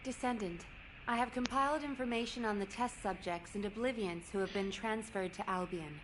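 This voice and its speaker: A woman's voice: mid-range voice, moderate pace, fairly bright tone, natural voice. A woman playing a worried, formal military operations officer in a video game, speaking earnestly and articulately. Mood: grave, explanatory. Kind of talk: scripted video game dialogue. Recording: noisy game capture with ambient sound.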